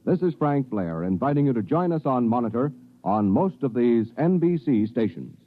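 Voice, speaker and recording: A man's voice: mid-range voice, big pitch swings, normal speed, a little hiss or hum. Salesy man on thin, phone-like radio. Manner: enthusiastic, salesy. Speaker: radio promo announcer. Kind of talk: scripted promo. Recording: thin telephone-like vintage radio.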